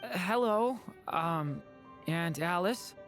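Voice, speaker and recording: A woman voicing a gentle, unsure young dragon boy in an anime-style game, soft, polite and hesitant. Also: on the low side, expressive, slow pace. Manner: hesitant, apologetic. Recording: game music behind.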